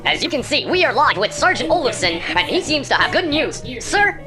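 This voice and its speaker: An actor playing an excitable, flippant future newscaster with breezy announcer patter in a sci-fi radio play. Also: sits high, a little fast. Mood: breaking-news fluster. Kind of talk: mock news broadcast in a sci-fi radio play. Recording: music behind the voice.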